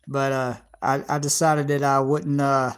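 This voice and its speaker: A middle-aged man with a Southern American accent hosts his own livestream, chatting casually to viewers. He rambles, stops and restarts often, and fills gaps with plenty of "uh"s. His voice sits at an ordinary pitch for a man. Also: clean tone. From Georgia, USA. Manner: rambling, many fillers and restarts. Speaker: channel host.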